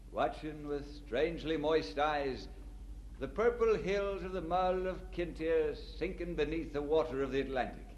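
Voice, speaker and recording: Expressive, earnest young Scotsman. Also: fairly high pitch, lo-fi sound, a little hiss or hum. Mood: wistful. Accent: Scottish. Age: young adult. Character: earnest, devout young Scottish immigrant man. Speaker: actor and narrator in an audio drama. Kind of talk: audio drama acting with first-person narration.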